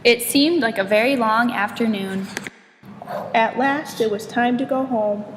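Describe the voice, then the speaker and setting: A woman reading a children's storybook aloud in an expressive narrating style. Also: a little high, a little slow. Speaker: storybook reader. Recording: echoey reverberant room, faint noise.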